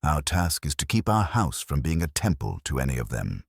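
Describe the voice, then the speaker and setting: A man's voice: a bass voice, very animated, moderate pace, vocal fry, slightly rough, no echo, studio quality, full character voice. A man voicing a ponderous, haughty older detective who pronounces formal, pedantic judgments in an audiobook mystery. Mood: lofty, disapproving.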